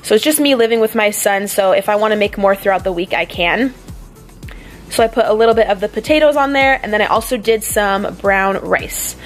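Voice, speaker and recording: Chatty young woman over soft music. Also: medium pitch, a little fast. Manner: chatty, relaxed, with fillers like um and like. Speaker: vlogger. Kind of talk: casual cooking voice-over. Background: faint background music.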